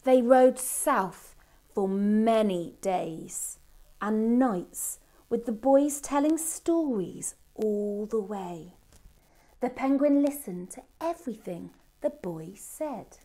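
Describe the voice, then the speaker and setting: A middle-aged woman reads a picture book aloud for children. Her voice sits a little high but stays soft and mellow. She takes her time, letting phrases hang with deliberate pauses. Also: expressive. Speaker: storybook reader. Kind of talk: expressive children's storybook reading. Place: indoor room by a Christmas tree. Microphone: camera a short distance away.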